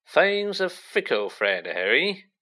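A vain celebrity wizard from a storybook, acted by a man. He is pompous and effusive, with a bright, forceful voice that swoops and lingers on words he enjoys. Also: fairly high pitch, big pitch swings, pitched above the performer's own voice, exaggerated voice. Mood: self-satisfied, boastful. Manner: pompous, effusive, drawn-out emphasis. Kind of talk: audiobook character acting.

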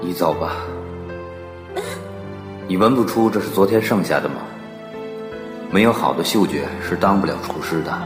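A man's voice: low voice, moderate pace, long pauses, music in the background, natural voice. A man acting as a stern, moody, embittered head chef in an audio drama. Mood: cold.

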